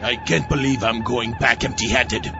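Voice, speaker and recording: Creaky, irritable blustering sea captain. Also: male voice, mid-range voice, huge pitch swings, moderate pace. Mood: bitter. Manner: exasperated, theatrical. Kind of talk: comic audio drama acting. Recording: dull, band-limited sound. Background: music.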